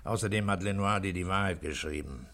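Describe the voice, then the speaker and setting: An actor playing a blunt, commanding police commissioner questioning people in a German radio play. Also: male voice, low voice, fairly quick, fairly bright tone. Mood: stern, pressing. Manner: blunt, ordering, needling. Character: gruff, commanding male police commissioner interrogating suspects.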